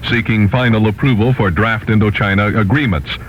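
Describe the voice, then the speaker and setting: A man with a lowish voice reads the news in 1970s bulletin style. The vintage radio recording is muffled and slightly noisy. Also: fairly even intonation, a little fast. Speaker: radio newsreader.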